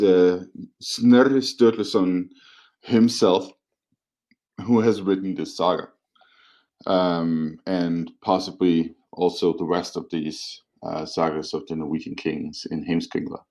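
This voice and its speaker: A man talking as an academic podcast co-host, explaining things informally in a halting, thoughtful way. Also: deep voice, a little mellow.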